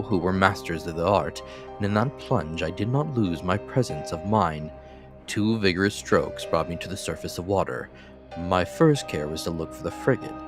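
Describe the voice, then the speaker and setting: Low-voiced man narrating a dramatic audiobook. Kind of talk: dramatic scripted narration of classic fiction. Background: faint music.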